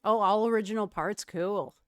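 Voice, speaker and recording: A woman's voice: medium pitch, moderate pace, no room sound, clean studio sound. A young woman from Wisconsin with a slight Wisconsin accent, chatting casually with her stream's chat and laughing often.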